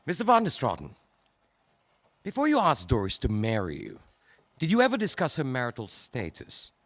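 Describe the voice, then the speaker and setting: A man acting a prosecutor in a 1940s court, questioning in a measured, formal way. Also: mid-range voice, huge pitch swings, fairly bright tone, dry recording, full character voice. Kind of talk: radio drama acting.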